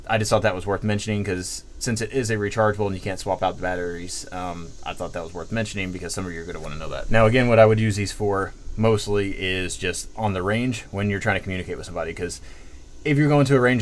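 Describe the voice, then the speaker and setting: Deep-voiced American man, casual gear reviewer. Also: slight background noise. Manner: rambling, conversational, full of hedges and asides. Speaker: gear reviewer presenting a product. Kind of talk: casual unscripted product review.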